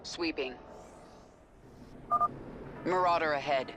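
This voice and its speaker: An actress playing a young, vengeful psychic soldier in a video game, terse and measured, with noise behind her. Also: deep voice, fairly bright tone. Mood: focused.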